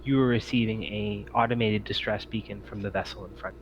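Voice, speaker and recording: A man's voice: lowish voice, fairly even intonation, a little fast. An easygoing man narrating a tabletop roleplaying game as game master in a casual, conversational way. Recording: slightly dull audio, faint background noise.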